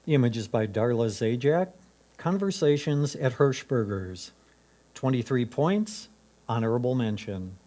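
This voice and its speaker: A man's voice: mid-range voice, expressive, unhurried, mellow. A man acting as a competition announcer, reading out names, titles and scores in a formal style.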